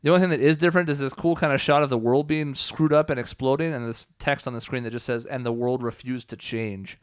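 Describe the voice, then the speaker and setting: Fast-talking man explaining a video game. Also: mid-range voice, fairly even intonation, clear, resonant tone, no room sound, studio quality. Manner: explanatory, opinionated. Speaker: let's play host explaining the game. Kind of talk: casual game commentary. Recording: game music playing behind. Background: video game music.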